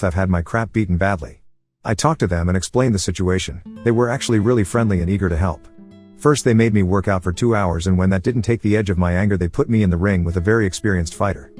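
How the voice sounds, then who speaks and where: Male storyteller, rapid steady first-person reading, low voice, faint guitar behind. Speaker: story narrator. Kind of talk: scripted narration of a forum post.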